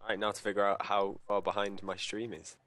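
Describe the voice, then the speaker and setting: A British man joining a stream by voice call, chatting in a relaxed, hesitant way and laughing often. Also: on the low side, a little fast. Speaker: guest on a call. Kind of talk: casual chat.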